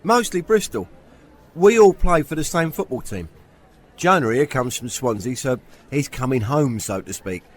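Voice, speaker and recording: A man's voice: mid-range voice, lively intonation, normal speed, close to an everyday voice. A man playing a bantering Sunday-league footballer in an audio drama, teasing and colloquial. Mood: chatty. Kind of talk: full-cast sci-fi audio drama.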